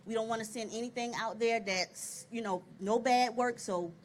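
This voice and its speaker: A woman's voice: on the high side, normal speed, a little hiss or hum. A woman in her thirties speaking cheerfully and plainly on an outdoor panel. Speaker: panelist, packaging operator. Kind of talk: panel discussion. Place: outdoor stage.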